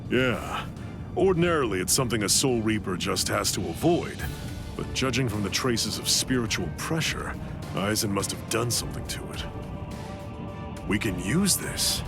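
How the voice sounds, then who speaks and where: An actor playing a grave, knowing warrior mentor in an anime game, explaining seriously and with command. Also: male voice, lowish voice, animated, moderate pace, vocal fry, over music. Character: grave, knowing Soul Reaper mentor to a young fighter. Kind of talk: English anime game voice acting.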